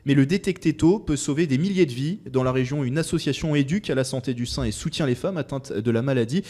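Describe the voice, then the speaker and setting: Male radio host, mid pitch. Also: fast talker, mellow. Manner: reads intro smoothly, asks with some hesitation. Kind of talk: radio interview. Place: radio studio.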